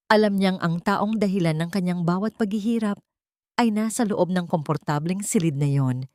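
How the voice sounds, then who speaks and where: Female narrator for a romance drama, lower-pitched, scripted dramatic storytelling, dry close recording. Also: darkish tone, no echo.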